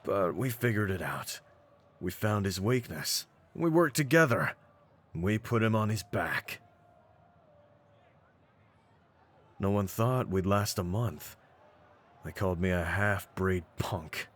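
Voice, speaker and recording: Casting: male actor for cocky brawler champion, deep voice, streetwise slang, mocking confidence, in-character roleplay audio. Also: expressive, unhurried. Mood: fond, gritty. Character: cocky, brawny half-breed pit-fighting champion, hyping his partner.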